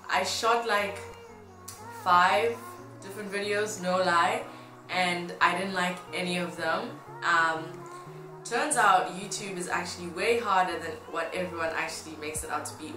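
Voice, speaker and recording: A woman's voice: on the low side, steady tone, unhurried. A woman in her twenties from Tanzania chatting casually to camera in an upbeat, informal way. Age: 20s. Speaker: fashion blogger. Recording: roomy echo, music behind. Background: music.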